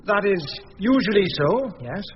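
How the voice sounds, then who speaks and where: In a reverberant, dull radio courtroom, a police doctor. The voice is high and varied in pitch, with guarded, hesitant, formal delivery. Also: male voice, expressive, moderate pace. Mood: reluctant. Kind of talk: radio drama acting.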